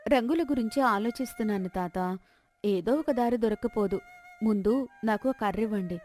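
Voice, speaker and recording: A woman's voice: fairly high pitch, normal speed, a little mellow, soft background music, natural voice. A woman voicing a brave, respectful young village daughter, earnest and firm, in a folk tale. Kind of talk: acted folk-tale dialogue.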